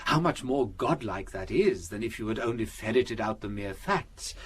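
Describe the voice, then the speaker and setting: An actor with a British accent playing a gentle, shrewd priest detective in a radio drama, chuckling and teasing as he patiently explains. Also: on the low side, moderate pace, breathy edge. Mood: amused, teasing.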